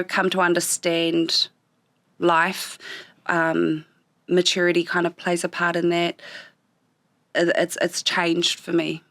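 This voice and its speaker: A woman with a New Zealand accent and a slightly low voice, reflecting on her life as an interview guest. Her first language was Japanese. She speaks thoughtfully and stops often to search for words, and the studio recording is dry and close. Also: a bit bright, no echo. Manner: thoughtful, pausing often with ums.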